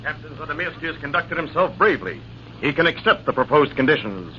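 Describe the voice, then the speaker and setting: A man playing a brisk, formal army major, commanding in the manner of old-time radio drama. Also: on the high side, big pitch swings. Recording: dull, faintly noisy old radio transcription.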